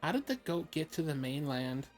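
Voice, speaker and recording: A woman voicing an awkward, puzzled young adventurer in an improvised comedic fan dub of a fantasy game, speaking casually and haltingly. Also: low-pitched, big pitch swings. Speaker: amateur live fan-dub voice actor. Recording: background music under the voice.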